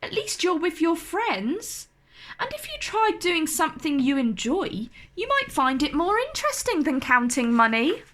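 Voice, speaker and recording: A woman's voice: very high-pitched, very animated, measured pace, fairly bright tone, pitched above the performer's own voice, full character voice. A woman voicing a polite, kind, earnest young boy in a children's storybook. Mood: consoling.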